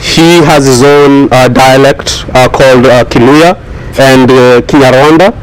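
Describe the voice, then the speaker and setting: A young man from Kenya who grew up in Kenya, talking to a high school class in a joking way, laughing often. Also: a little slow, low-quality recording. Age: young adult. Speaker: student speaker at a school. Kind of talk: classroom talk.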